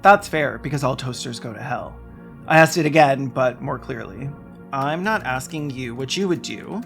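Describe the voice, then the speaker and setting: A video essayist narrating a script with sarcastic, deadpan comic asides. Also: mid-range voice, moderate pace, creaky, faint music behind. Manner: sarcastic, wry deadpan jokes.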